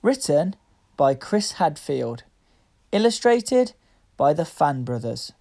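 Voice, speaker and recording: A woman reading a picture book aloud to young children, with deliberate, expressive phrasing. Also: low-pitched. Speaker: children's story reader.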